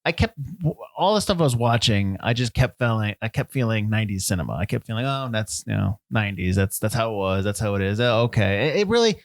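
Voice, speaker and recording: A man's voice: fairly low pitch, quick pace, few pauses, no echo, studio quality. Jokey male podcast co-host. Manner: jokey, animated, acts out scenes in silly voices. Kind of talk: casual film discussion.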